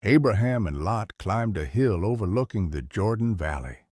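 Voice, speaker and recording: A man narrating a scripted story solemnly as narrator, with a husky voice. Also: lowish voice, animated, normal speed, darkish tone.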